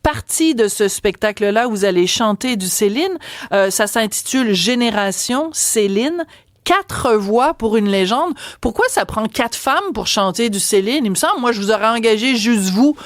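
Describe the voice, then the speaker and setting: A woman with a Québécois French accent hosting a radio interview, chatty and teasing, quick to laugh. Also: a little high, animated, no echo, clean studio sound. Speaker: radio host.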